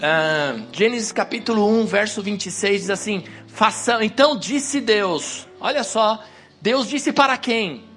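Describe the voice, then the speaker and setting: A man preaching a sermon to a congregation on a handheld wireless mic, hoarse from a cold, exhorting and rising to emphatic peaks. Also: sits high, unhurried, sharp tone, faint music behind.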